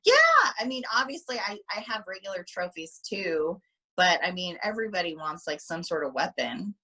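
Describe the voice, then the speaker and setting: A woman athlete guest in a casual interview over a video call, animated and repeating herself when excited. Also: fairly low pitch, normal speed, breathy edge.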